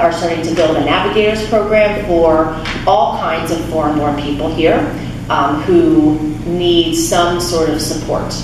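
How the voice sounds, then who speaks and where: A woman in her thirties giving a casual talk at a lectern, with frequent restarts and fillers. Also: lowish voice. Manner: chatty, many restarts and fillers. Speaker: guest speaker from a refugee resettlement nonprofit. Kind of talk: informal talk with audience questions. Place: small church chapel, speaking at a lectern. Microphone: handheld mic, recorded by a far camera. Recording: echoey chapel, distant camera, room noise.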